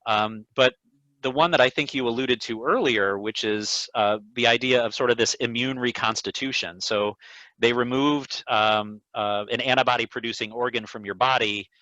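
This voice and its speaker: A man speaking as an eye doctor, explaining things to a patient in a measured, careful way over a video call. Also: medium pitch, steady tone, pushed and bright, no echo. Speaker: neuro-ophthalmologist answering patient questions. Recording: dull, lo-fi video call audio.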